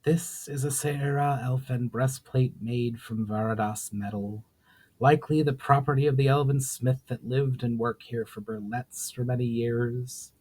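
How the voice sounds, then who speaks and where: A man plays a flaming sorceress from a fantasy audiobook. She is solemn and cryptic. Her speech is formal and measured, with an archaic turn. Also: medium pitch, steady tone, darkish tone, lightly acted, rough recording. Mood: grave, instructive. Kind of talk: dramatic fantasy audiobook reading.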